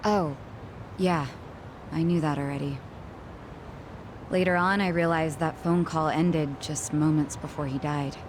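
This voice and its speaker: A woman's voice: low-pitched, fairly even intonation, a little slow, long pauses, background noise. A woman playing a blunt, guarded young cabaret club bartender in an English video game dub, frank and curt. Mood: worried, earnest.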